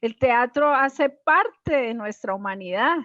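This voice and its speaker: A woman's voice: a little high, lively intonation, takes it slowly, sharp tone, dry recording, muffled recording. A Colombian woman with a Colombian accent, hosting a casual interview in an effusive, admiring way. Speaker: host, stage performer.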